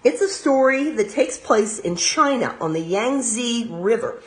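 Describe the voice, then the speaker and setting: An American woman reading a storybook aloud as a teacher of young children, expressive and pausing to explain in simple asides. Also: a little high.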